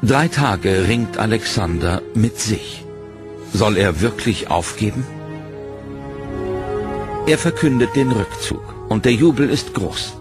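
A man with a lower voice tells a history documentary in a measured, solemn studio voice-over. Loud, dramatic music plays behind his words throughout. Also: expressive. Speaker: documentary narrator. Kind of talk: scripted documentary narration.

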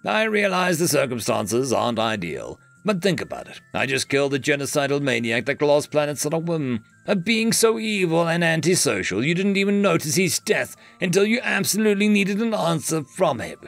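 A man's voice: on the high side, sing-song, normal speed, gravelly, few pauses, fairly bright tone, faint music behind, pitched above the performer's own voice, exaggerated voice. A man voicing a cocky male bounty hunter who talks in a smug, joking, casual way.

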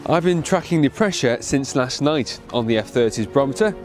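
A man reading a scripted product promo voice-over in an even, polished manner. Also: mid-range voice, fairly quick. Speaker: product video narrator. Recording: voice-over over music bed. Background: background music.

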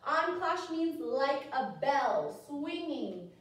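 A very reverberant dance studio, picked up by a distant microphone, with music in the recording. An American woman with a high voice leads small children through ballet steps, calling cues in an encouraging, animated manner. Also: takes it slowly. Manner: animated, encouraging, sing-song cueing. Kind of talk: dance class instruction for toddlers. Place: indoor dance studio with wooden floor. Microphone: camera several metres away.

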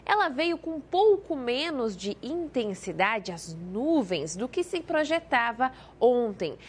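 High-voiced Brazilian woman forecasting weather. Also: a little hiss or hum. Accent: Brazilian Portuguese. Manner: lively, conversational explaining. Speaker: weather presenter. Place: TV studio.

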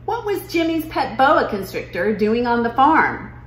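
A woman's voice: on the high side, big pitch swings, measured pace, pitched above the performer's own voice. A woman reading a children's picture book as an amazed mother, asking eager, incredulous, emphatic questions. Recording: roomy living room with noise.

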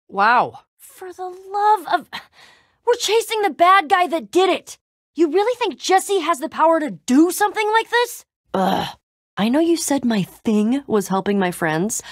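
A woman's voice: high-pitched, fairly bright tone, no echo, clean studio sound, heavily acted. A woman playing a tough, snarky but loyal young female adventurer in a video game, with casual, slangy delivery. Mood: exasperated, sarcastic. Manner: casual slangy delivery with sighs and sarcastic asides.

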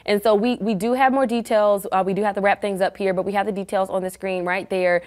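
A woman's voice: medium pitch, fairly even intonation, fast, darkish tone. A middle-aged woman hosting an upbeat lifestyle TV interview in a studio, effusive and encouraging. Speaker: TV show host. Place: small indoor TV studio. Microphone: lapel mic.

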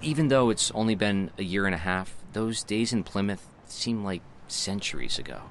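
An actor playing a reflective young man who narrates his memories of a formative summer in a measured, wistful way for an audio drama. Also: fairly low pitch, lively intonation, a little hiss or hum.

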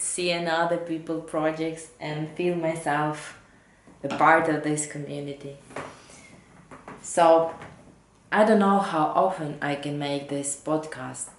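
Low-voiced woman speaking English as a second language. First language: not English, not Danish. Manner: halting, searching for words in a second language. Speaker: knitting podcast host. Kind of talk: casual chat to camera. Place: living room at home, indoors. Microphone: camera or laptop mic at desk distance. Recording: roomy home echo.

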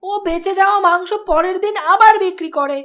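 Shrill, very high-pitched nagging cartoon wife voiced by a woman. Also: brisk, fairly bright tone. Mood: bitter, nagging. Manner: scolding, sarcastic. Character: nagging, sharp-tongued wife of a village butcher. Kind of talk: Bengali cartoon voice acting.

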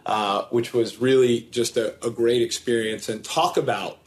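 A man's voice: mid-range voice, steady tone, normal speed. A man in his forties joining a panel remotely from an office meeting room, speaking in a friendly, conversational way. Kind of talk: panel discussion.

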